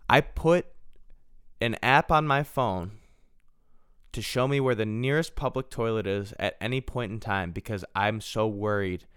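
A man's voice: on the low side, unhurried, a bit bright, smooth, no echo, clean studio sound. A man in his twenties hosting a solo podcast, rambling casually and poking fun at himself, often repeating and restarting his phrases. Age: 20s. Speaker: podcast host.

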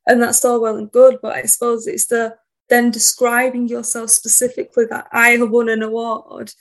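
A woman poet being interviewed in a casual chat, shy and soft-spoken, rambling a little as she talks. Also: fairly high pitch, flat delivery, normal speed. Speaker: poet guest.

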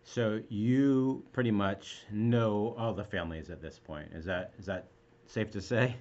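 A man's voice: on the low side, measured pace, darkish tone, highs cut off. A man hosting a casual interview, asking questions and reacting with encouragement and laughter. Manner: upbeat, encouraging, laughs along.

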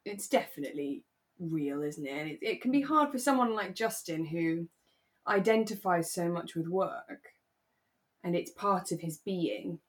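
A woman co-hosting a podcast in a relaxed, casual conversational style. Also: fairly low pitch, a little slow. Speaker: podcast host; actor. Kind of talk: relaxed casual chat about a radio drama.

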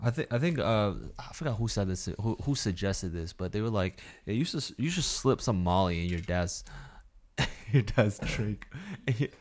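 A man from New York co-hosting a casual podcast, talking in halting bursts with many restarts and repeated words. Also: on the low side, lively intonation. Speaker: podcast co-host.

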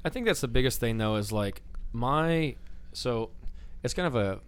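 A man's voice: mid-range voice, lively intonation, moderate pace, clean tone. A middle-aged man from Indiana, a teacher hosting a casual school podcast, who rambles with many restarts and fillers. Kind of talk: casual chat.

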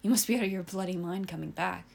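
A fierce young woman tending bar, defiant and sharp-tongued, snapping back at threats, as a woman reads her lines. The voice is low-pitched and plain. It is a storybook reading. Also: fast talker, vocal fry. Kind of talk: reading own fiction aloud.

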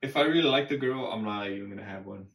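Lowish-voiced young man arguing in an echoey room. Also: fast, fairly bright tone. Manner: profane, argumentative, restates his point. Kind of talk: casual group banter. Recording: echoey room, far from mic.

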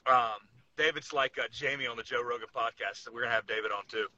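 A man's voice: mid-range voice, fast, bright, forceful tone, lo-fi sound, a little hiss or hum. A man co-hosting a casual powerlifting podcast, giving opinionated, instructive takes as a lifter himself.

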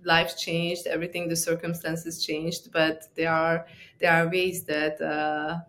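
A middle-aged woman from Romania, a guest author talking through an interview in an earnest, halting way. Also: low voice, level tone, fairly bright tone. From Transylvania, Romania. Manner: earnest, halting, with frequent restarts. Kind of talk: conversational interview.

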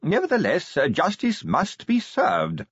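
Man doing a prim, high-pitched little inspector. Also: huge pitch swings, a little slow, slightly rough, no room sound, lo-fi sound, heavily acted. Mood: politely firm. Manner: hesitant, formal, polite yet firm. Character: small, prim, polite yet firm male inspector.

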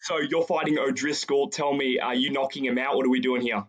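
A male host runs the interview in a casual, upbeat way. He uses plenty of slang and often repeats himself as a question gets going. His voice is of ordinary pitch for a man. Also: little pitch movement, fairly quick.

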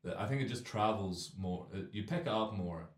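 A young man in his twenties hosting a comic chat show, loud and rambling, with a deep carrying voice that is a bit phlegmy. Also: brisk. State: phlegmy, clearing throat. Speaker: radio show host. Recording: echoey studio room.

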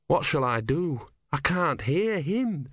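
Man playing an earnest young novice, plaintive, higher voice, dry. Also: very animated, takes it slowly, a bit bright, no echo, full character voice. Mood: troubled. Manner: anxious, plaintive.

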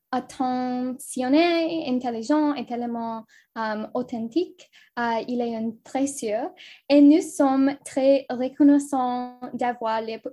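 A native English-speaking woman with an Ontario anglophone accent speaks French slowly and hesitantly, filling pauses often. Her voice is high and mellow. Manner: hesitant, with many fillers. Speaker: podcast co-host.

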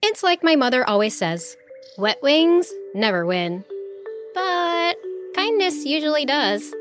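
A woman's voice: medium pitch, very animated, a little slow, soft background music. A woman voicing a young dragonfly princess in a children's storybook, brave but nervous, speaking in formal, earnest declarations. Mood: firm, forgiving.

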